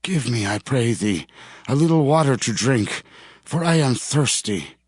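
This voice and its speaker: An actor playing a weary fugitive army captain who pleads in archaic English, in a dramatized scripture reading. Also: male voice, medium pitch, lively intonation, a little slow, darkish tone, breathy edge, dry recording, studio quality. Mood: weary, pleading.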